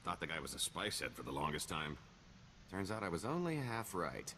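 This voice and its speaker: An actor playing a smooth-talking, self-assured con man in a video game, with wry, wheedling salesman patter. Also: deep voice, very animated, moderate pace, bright, forceful tone, a little hiss or hum, full character voice. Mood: sly, scheming. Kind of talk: video game dialogue acting.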